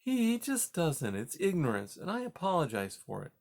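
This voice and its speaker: Young girl mouse voiced by a man, soft, pleading, higher-pitched. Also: huge pitch swings, moderate pace, creaky, heavily acted.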